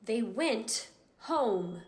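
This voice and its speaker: Brisk, high-voiced institute receptionist. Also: female voice, animated, very noisy. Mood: curt, evasive. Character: receptionist at a sinister dream-therapy institute, brisk and evasive. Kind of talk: horror audio drama acting.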